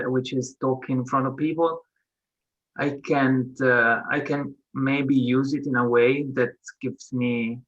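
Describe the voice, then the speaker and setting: Guy in a workshop thinking out loud, slow and halting, pretty monotone. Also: medium pitch. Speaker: workshop participant. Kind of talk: hesitant reflective sharing. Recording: slightly dull, lo-fi audio.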